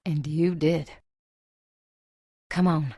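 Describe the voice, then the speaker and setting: A woman playing a steady, tough survivor in an audio drama, with a soft husky voice. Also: low voice, drawn-out words, no room sound, studio quality, full character voice. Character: steady, tough female survivor of dragon attacks.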